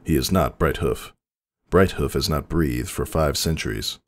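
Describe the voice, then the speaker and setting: A man's voice: a bass voice, a little slow, dry recording, studio quality. A man narrating fiction as a dramatic scripted reading.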